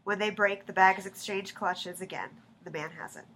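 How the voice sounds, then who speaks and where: A woman's voice: medium pitch, normal speed, fairly bright tone, slight background noise, rough recording. A woman narrating the stage directions of a play at a staged reading.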